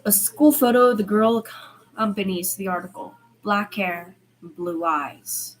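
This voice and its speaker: A woman reads fiction aloud in an informal, homemade audiobook style. Her pitch sits in the middle for a woman, she occasionally trips over a word, and there is faint noise behind her. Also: measured pace, poor audio. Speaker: podfic narrator. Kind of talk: amateur fiction reading with character dialogue.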